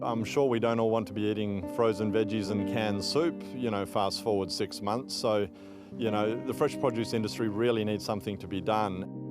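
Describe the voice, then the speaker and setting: A man's voice: fairly low pitch, steady tone, normal speed, music in the background. An Australian man, a farmer, speaking frankly and plainly in a news interview, with restarts.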